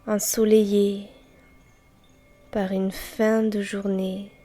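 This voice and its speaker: Female meditation guide: mellow, breathy and gentle, very unhurried delivery, soft chimes and music underneath. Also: medium pitch, little pitch movement. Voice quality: soft, breathy, often near a whisper. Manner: gentle, unhurried, with long pauses between short phrases. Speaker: guided meditation teacher and host. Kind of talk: guided yoga nidra meditation, slow and soothing.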